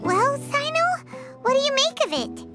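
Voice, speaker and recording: A woman voicing a tiny, eager, chirpy childlike companion in a video game, with a squeaky voice. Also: sing-song, a little slow, heavily acted. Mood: inquisitive. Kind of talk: video game character dialogue. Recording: music behind voice.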